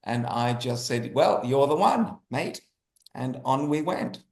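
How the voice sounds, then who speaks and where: A man's voice: medium pitch, expressive, takes it slowly, vocal fry, darkish tone. Australian man in an echoey room. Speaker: guest, writer and animator. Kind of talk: conversational interview answers. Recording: roomy, echoey sound.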